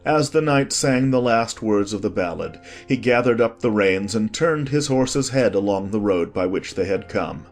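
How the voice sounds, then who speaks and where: Low-voiced man narrating a storybook. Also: expressive, normal speed, hardly any pauses, faint music behind.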